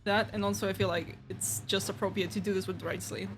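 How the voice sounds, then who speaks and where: A woman's voice: medium pitch, level tone, quick pace. A woman game streamer chatting casually and reading dialogue aloud, playful and slangy. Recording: game music playing behind the voice.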